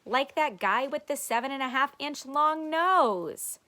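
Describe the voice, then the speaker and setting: Storybook big sister, played by a woman: school-age girl, mocking and challenging, lively pitch swings. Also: a little slow, heavily acted. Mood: teasing.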